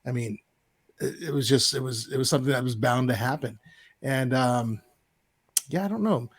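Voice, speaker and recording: A man's voice: medium pitch, moderate pace, slightly rough. A man co-hosting a casual podcast, rambling and searching for words. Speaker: podcast co-host.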